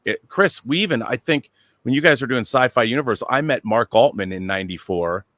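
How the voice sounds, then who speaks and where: A man's voice: medium pitch, animated, brisk, a bit bright, no echo. A middle-aged man talking casually as a panel guest, telling detailed stories with enthusiasm. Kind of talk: casual anecdotal talk.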